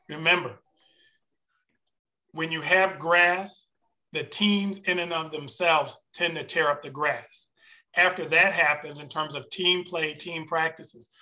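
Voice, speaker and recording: Bright, forceful man chairing a meeting. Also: on the high side. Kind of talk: formal meeting discussion. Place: video conference meeting. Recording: video call audio.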